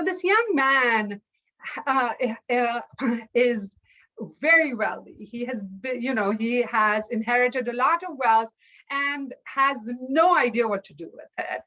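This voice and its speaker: Female folktale storyteller, very unhurried and deliberate, higher register, dull lo-fi sound. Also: pushed and bright. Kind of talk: oral folktale telling.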